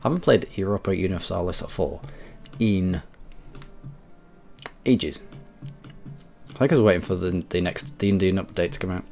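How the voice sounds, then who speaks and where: A man with a head cold streaming a strategy game, rambling and correcting himself, often clearing his throat. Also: deep voice, moderate pace, pauses often, darkish tone. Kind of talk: casual game commentary.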